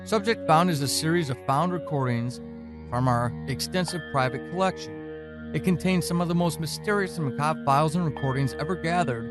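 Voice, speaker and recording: Male podcast announcer, slightly high pitch, scripted introduction delivery with clear musical backing. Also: a little fast, music in the background.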